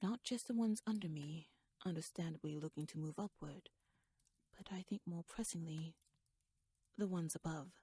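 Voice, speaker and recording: A woman's voice: low voice, moderate pace, mellow, breathy edge, no room sound. A woman playing a shrewd, talkative royal schemer in an ASMR audio roleplay, speaking in wordy, formal, playfully wry musings addressed to the listener. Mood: sly, chatty.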